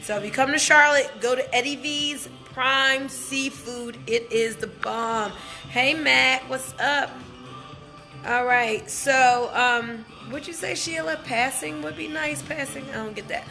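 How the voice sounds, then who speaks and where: Bright, high-voiced chatty woman. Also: measured pace, creaky, soft background music. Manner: chatty, informal, enthusiastic. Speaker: livestream host, wellness business promoter. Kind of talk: casual chat to live viewers. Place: indoor hotel gym. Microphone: handheld phone at arm's length.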